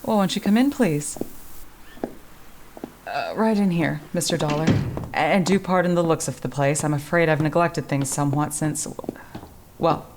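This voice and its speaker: Actress as subdued society widow, lowish voice, genteel, hesitant, apologetic, radio drama, noisy background. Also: female voice, normal speed, long pauses. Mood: polite, apologetic. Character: polite, subdued widow of a wealthy yachtsman. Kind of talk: old-time radio drama acting.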